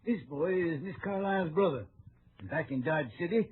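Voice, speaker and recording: A man's voice: a little high, normal speed, breathy edge. An actor playing a genial, folksy older townsman in an old-time radio western. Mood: genial, earnest. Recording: noisy, tinny old radio recording.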